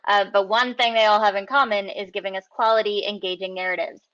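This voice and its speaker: A woman's voice: mid-range voice, steady tone, quick pace, fairly bright tone, no echo. A woman moderating a panel, enthusiastic as she introduces guests. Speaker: author and moderator. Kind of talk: presenting a panel. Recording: slightly dull, lo-fi sound.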